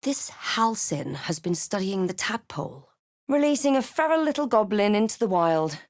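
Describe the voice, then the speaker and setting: A woman playing a snarky, brave adventurer spellcaster in a fantasy video game, speaking in a theatrical, wry and deliberate way. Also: mid-range voice, sing-song, dry recording, studio quality, close to an everyday voice. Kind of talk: video game voice lines, dramatic narration and spell chants.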